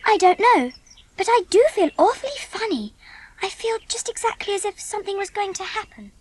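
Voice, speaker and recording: A woman's voice: extremely high pitch, brisk. A woman playing an earnest, sensitive and slightly bossy English schoolgirl, an older sister, in a dramatised audiobook. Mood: uneasy, wondering. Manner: earnest, expressive.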